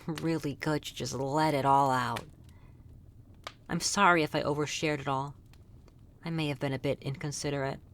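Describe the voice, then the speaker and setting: A woman voicing a lonely, centuries-old female vampire in an intimate ASMR roleplay, soft-spoken and confiding, speaking fondly and wistfully to the listener. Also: deep voice, slight background noise. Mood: grateful, tender.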